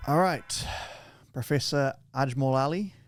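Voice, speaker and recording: A man hosts a podcast in a loose, playful way, tripping over and restarting his sentences as he talks. His voice sits at an ordinary middle pitch. The sound is dry and close to the mic. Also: a little slow, no echo, soft background music. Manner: chatty, playful, with many restarts. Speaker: podcast host. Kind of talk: casual podcast chat.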